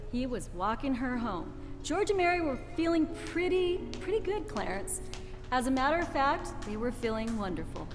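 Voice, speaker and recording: A woman acts a sweet heavenly storyteller in a 1940s radio drama. Her high voice rings with long hall reverb over faint music.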